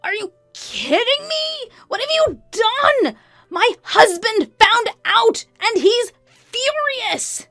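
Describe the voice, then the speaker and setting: A woman voicing a furious, indignant adulterous best friend, shrill and demanding, in a narrated story. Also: very animated, slow pace, bright, forceful tone, no echo, clean studio sound, faint music behind, heavily acted. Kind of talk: acted dialogue in a narrated story.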